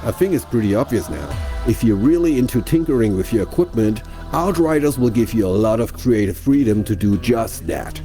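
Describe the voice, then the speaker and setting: A man's voice: medium pitch, lively intonation, a little fast, few pauses, dark tone. A man presenting a game showcase in a conversational, scripted promotional style, explaining things directly to viewers. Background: background music.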